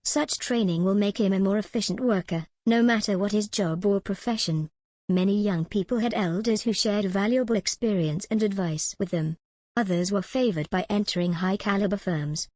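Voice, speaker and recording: A woman's voice: medium pitch, normal speed, a little mellow, dry recording. A woman reading a short scripted practice passage aloud. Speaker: read-aloud exam practice reader.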